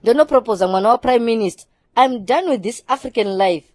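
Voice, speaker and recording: A woman's voice: on the high side, lively intonation, a little fast, no echo. A woman voicing a haughty, swaggering youngster in a comedy cartoon, mixing English into Shona. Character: snobbish, swaggering youngster who disdains township life.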